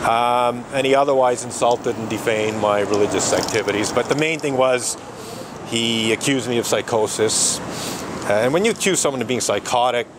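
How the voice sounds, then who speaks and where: A man protesting outdoors, talking in an animated, indignant way and laughing often. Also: mid-range voice, normal speed. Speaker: street protester. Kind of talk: casual outdoor conversation. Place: outdoors on a city street. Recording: outdoor traffic noise.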